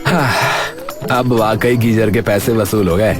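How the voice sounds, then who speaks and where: A man's voice: lowish voice, fairly even intonation, a little slow, lightly acted. A man voicing a scheming, easily irritated young village man in a cartoon, with exclamatory colloquial speech. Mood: sly, gloating. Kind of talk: cartoon voice acting. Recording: music behind the voice. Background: music.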